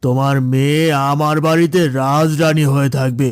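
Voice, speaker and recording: A man's voice: fairly high pitch, little pitch movement, moderate pace, clean tone, dry recording, clean studio sound, close to an everyday voice. A man voicing a pushy, scheming village headman in a cartoon, domineering and wheedling by turns. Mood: pressing, smug. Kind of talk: Bengali cartoon voice acting.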